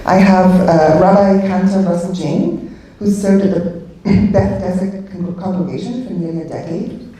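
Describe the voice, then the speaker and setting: A woman's voice: lowish voice, normal speed, warm tone. A woman moderating a panel at a conference, introducing the speakers in a hesitant way with many fillers. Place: large conference hall. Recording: echoey hall, distant mic.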